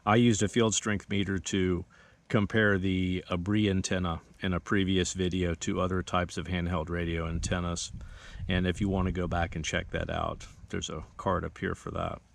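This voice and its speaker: A man's voice: deep voice, little pitch movement, moderate pace, creaky. A man presenting an explanatory equipment demonstration in a methodical, instructional manner. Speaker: presenter of radio equipment tutorial.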